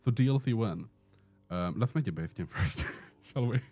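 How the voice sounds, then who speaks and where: A man's voice: on the low side, lively intonation, normal speed, mellow. A man with a Swedish accent, chatting and joking through a live gameplay stream while answering questions from chat. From Sweden. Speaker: game streamer. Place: indoor room with a door.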